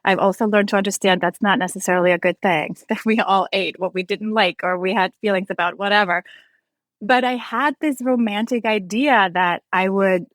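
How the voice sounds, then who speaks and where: A woman's voice: medium pitch, normal speed, no room sound. A woman in her forties from North Iceland, a guest in a casual interview, talking candidly and reflectively with restarts; Icelandic is her first language.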